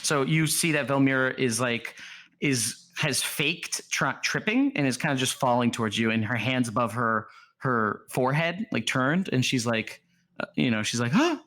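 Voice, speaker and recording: A man taking part as a player in a streamed tabletop game, playful, with quick asides. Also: mid-range voice, animated, pushed and bright.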